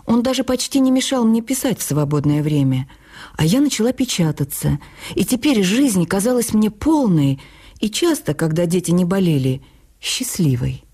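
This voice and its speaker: Mellow, breathy woman narrating a memoir. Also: medium pitch, moderate pace. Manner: intimate, wistful, expressive. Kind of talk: literary first-person narration for radio drama.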